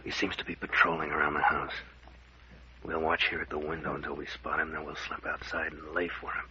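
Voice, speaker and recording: Deep, forceful radio detective captain. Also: male voice, flat delivery, muffled recording, exaggerated voice. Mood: tense, watchful. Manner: brisk, bossy, clipped orders. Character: tough, commanding captain investigating eerie graveyard happenings. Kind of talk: old-time radio mystery serial acting. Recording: noisy, band-limited old radio recording.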